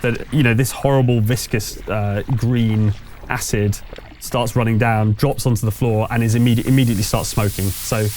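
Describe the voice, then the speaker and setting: A man running a tabletop game as game master, narrating and refereeing conversationally, pausing as he thinks aloud. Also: mid-range voice, fairly even intonation, darkish tone, a little hiss or hum.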